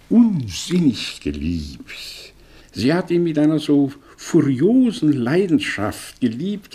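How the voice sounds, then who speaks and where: An actor playing a cynical, eloquent middle-aged professor in a mid-century radio play, with a theatrical, sardonic delivery. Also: male voice, mid-range voice, darkish tone, husky, exaggerated voice.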